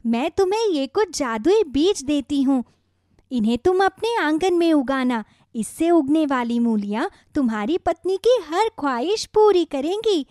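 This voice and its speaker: A woman acts out a helpful little magic radish from a storybook cartoon. She speaks high, with lively swoops, in a caring, reassuring manner. The recording is very dry. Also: measured pace, no room sound. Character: kindly, helpful female magic talking radish. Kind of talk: children's moral story cartoon.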